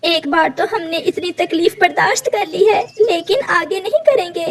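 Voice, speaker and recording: A woman's voice: very high-pitched, normal speed, pitched above the performer's own voice. A woman voicing a devoted, stubborn mother bird in a cartoon story, earnest and emotional. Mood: determined, pleading.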